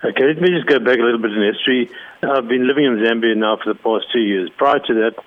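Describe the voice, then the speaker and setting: A man's voice: medium pitch, fast, no echo. Man from Zimbabwe on a phone line. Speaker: cattle rancher, guest. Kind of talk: phone interview.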